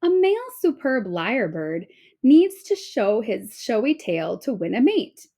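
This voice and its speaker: A woman reading an informational children's book aloud like a teacher, with expressive, clearly phrased delivery. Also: fairly high pitch, unhurried.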